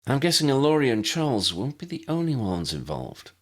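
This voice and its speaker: A man voicing a keen, excitable young female agent in an audiobook, eager and emphatic. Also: medium pitch, fairly quick, pitched above the performer's own voice. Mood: determined.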